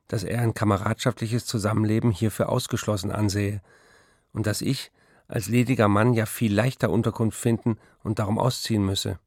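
A man's voice: lowish voice, dry recording, clean studio sound. An actor playing an embittered, weary male writer who reads out his letters in a radio drama.

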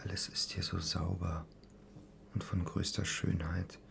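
A brooding young son mutters to himself in a low, heavily creaking voice. The phrases come halting and half-whispered, as interior monologue in a radio drama, with faint noise behind. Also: male voice, flat delivery, exaggerated voice. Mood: muted, wry. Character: brooding young son murmuring over his mother's letters.

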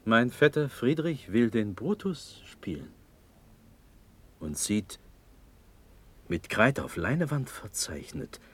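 An actor playing a defiant, bitter young prince in a classical verse radio drama, declaiming with brooding weight. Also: male voice, sits low, breathy edge, heavily acted.

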